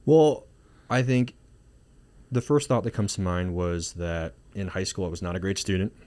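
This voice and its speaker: A man's voice: sits low, moderate pace, darkish tone, dry recording. A man from Singapore, a marriage and family therapist, talking casually in an interview with many fillers and restarts.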